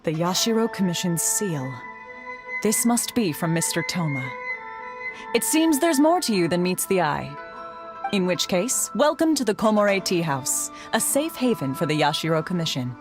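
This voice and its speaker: A courteous, guarded tea house hostess in a fantasy game, her fairly low voice moving with an expressive lilt. She is formal and slightly cool toward strangers. Game music is clear behind her. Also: moderate pace. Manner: formal and courteous, coolly dismissive. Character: polite but wary tea house hostess. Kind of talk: video game dialogue.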